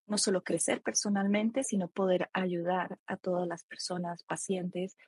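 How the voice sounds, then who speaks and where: A woman with a Costa Rican accent and a husky voice, explaining reflectively as an expert guest in a conversational interview. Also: on the low side, fairly even intonation, a little slow, a little mellow, dry recording. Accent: Costa Rican Spanish. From Costa Rica. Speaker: expert guest, psychologist and author.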